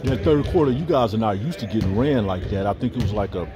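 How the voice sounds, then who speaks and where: A man's voice: on the low side, animated, fast. A male sports reporter putting casual questions to a player, with gym noise and music behind.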